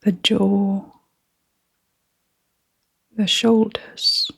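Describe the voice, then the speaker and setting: A woman leading a guided meditation in a soft, half-whispered, breathy voice, with gentle, unhurried phrasing. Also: medium pitch, flat delivery.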